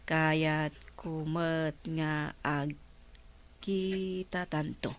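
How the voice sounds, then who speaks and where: A woman's voice: sits low, steady tone, drawn-out words. An actress playing a smitten village woman talking to herself in an Ilocano radio comedy drama. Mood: smitten, delighted.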